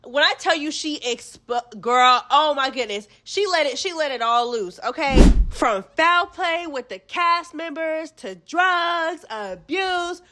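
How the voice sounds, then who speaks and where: High bright-voiced woman with a stuffy nose. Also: slow, few pauses. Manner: chatty, animated, slangy, calls listeners girl and baby. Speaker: host of a reality TV gossip channel. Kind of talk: casual gossip recap.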